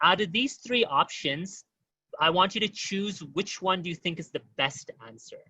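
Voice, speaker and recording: A man teaching an online exam-prep webinar, talking quickly and informally to his audience with many fillers. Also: high voice, lively intonation, sharp tone, dry recording, rough recording.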